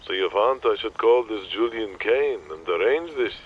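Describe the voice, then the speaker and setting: A man's voice: on the low side, expressive, moderate pace, husky. A man playing a weary, skeptical Hollywood agent on a phone call in an audio drama, dry and exasperated. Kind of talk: comic audio drama acting. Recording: dull, muffled phone-call sound.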